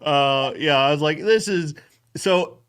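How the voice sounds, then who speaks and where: Clear-voiced, higher-pitched man hosting. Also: slow pace, a bit bright. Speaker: show host, fence expert. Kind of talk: casual podcast recap chat.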